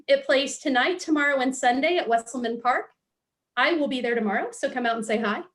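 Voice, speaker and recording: A woman giving a prepared welcome speech and arts announcements in an earnest way. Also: high voice. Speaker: arts event speaker.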